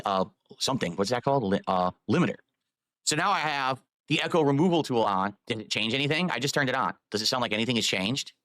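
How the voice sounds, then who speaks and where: A man's voice: mid-range voice, a bit bright, dry recording. Guy streaming and messing w his mic settings, rambly, super dry sound. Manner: rambling, fiddling with audio settings. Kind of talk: live stream chat.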